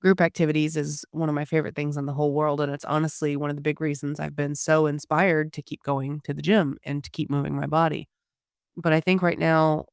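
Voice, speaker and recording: A hoarse woman giving a candid personal health update to her listeners, with frequent pauses and restarts. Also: low voice, dry recording, clean studio sound. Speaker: podcast host.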